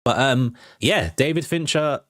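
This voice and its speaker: A man's voice: mid-range voice, lively intonation, measured pace, a bit bright, dry recording, studio quality. A man hosting a film and TV news show, chatting to viewers in an informal, enthusiastic, conversational way. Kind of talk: casual entertainment news commentary to viewers.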